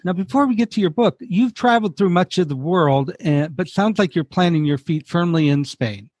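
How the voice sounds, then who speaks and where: A man's voice: high voice, expressive, normal speed, a little mellow, dry recording. Upbeat podcast host man. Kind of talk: interview hosting, scripted reads.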